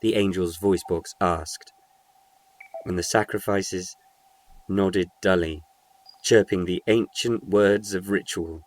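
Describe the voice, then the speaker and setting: A man's voice: low voice, steady tone, slow, long pauses. A man reading scripted narration for a short audio story.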